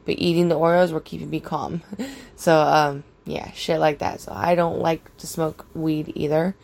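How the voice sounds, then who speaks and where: A young woman with a raspy voice, casually rambling through a personal story with lots of fillers and swearing. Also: low-pitched, moderate pace, darkish tone.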